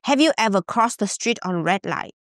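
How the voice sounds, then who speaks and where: A young woman with a Vietnamese accent hosts a podcast, playful and teasing as she reads out listener questions. Her pitch is in the middle range, and the recording is dry and close. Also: animated, normal speed, dry recording. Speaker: podcast host. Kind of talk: casual chat.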